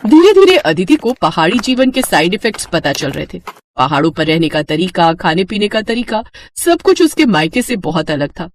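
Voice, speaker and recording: A woman's voice: fairly low pitch, very animated, moderate pace, slight background noise. A woman narrator reading a scripted moral story.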